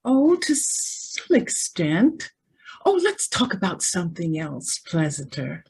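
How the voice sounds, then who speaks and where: A woman acts a spoiled young heiress in a radio play. Her slightly husky voice takes its time and swings freely up and down, girlish and breezy, with a naive callousness that easily tips into petulance. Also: on the low side, wildly swooping pitch, slow, darkish tone, heavily acted. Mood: coy, airy. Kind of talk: old-time radio drama acting.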